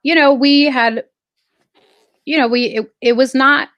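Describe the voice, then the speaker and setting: Woman guest, from southern california, thoughtful and halting, dry close sound. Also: sits high, steady tone, a bit bright, no echo, dull audio. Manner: reflective, halting, many fillers. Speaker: guest artist. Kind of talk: casual interview storytelling.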